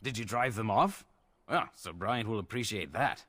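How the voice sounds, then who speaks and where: An actor playing a dutiful templar guard in a fantasy video game, speaking in a formal, measured way. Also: male voice, fairly low pitch, lively intonation, fairly bright tone, dry recording. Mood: sober, courteous. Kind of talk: video game dialogue acting.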